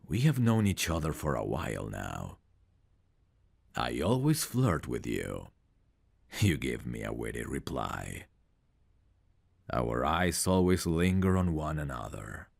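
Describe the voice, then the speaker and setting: A man playing a big, confident, flirtatious champion fighter in an intimate ASMR audio roleplay, speaking in a deliberate, teasing manner. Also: very deep voice, vocal fry, no room sound, heavily acted. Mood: tender, seductive. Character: big confident champion fighter, smooth, flirtatious, guarded about his past. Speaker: voice actor.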